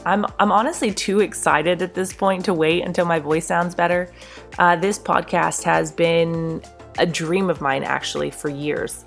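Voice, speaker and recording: A woman's voice: on the low side, steady tone, a little slow, vocal fry, faint music behind. A congested woman hosting her own podcast, talking in a candid, earnest, conversational way with a throaty, stuffed-up voice. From North Carolina. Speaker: podcast host. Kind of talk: casual solo podcast talk.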